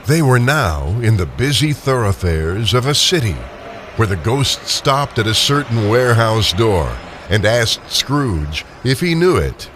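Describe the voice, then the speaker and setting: An older man narrating a scripted story dramatically, with expressive, deliberate phrasing. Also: fairly low pitch, a little hiss or hum.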